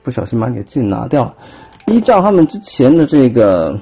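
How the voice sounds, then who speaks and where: A man's voice: mid-range voice, very animated, fairly quick, vocal fry, mellow, husky. A man with a Taiwanese Mandarin accent, casually explaining a toy transformation step by step with many fillers, recorded on a phone at a table indoors. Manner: explanatory, filler-heavy, pointing out parts step by step. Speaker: toy reviewer. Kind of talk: casual hands-on toy demonstration.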